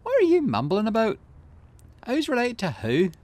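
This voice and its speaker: A man's voice: very high-pitched, huge pitch swings, moderate pace, darkish tone, no echo, voice pushed up, heavily acted. A man voicing a brash, loyal young woman from a video game, with a blunt, teasing manner. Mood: exasperated.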